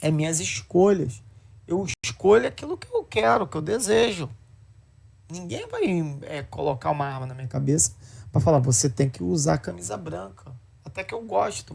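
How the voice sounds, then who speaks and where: A man talks in a blunt, lecturing way. His fairly high voice has a gravelly edge. He mocks the views he disagrees with. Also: darkish tone. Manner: blunt, lecturing, mocking with put-on quotes. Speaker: podcast commentator. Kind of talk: opinionated podcast talk.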